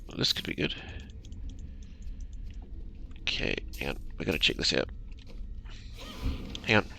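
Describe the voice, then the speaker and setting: Gravelly man chatting over gameplay. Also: very deep voice, measured pace, a little hiss or hum. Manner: chatty, excited muttering. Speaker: gameplay streamer. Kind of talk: casual gameplay commentary.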